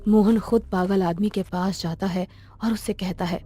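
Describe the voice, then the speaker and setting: Mellow woman narrating a scripted story. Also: medium pitch, steady tone, moderate pace, breathy edge. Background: faint music.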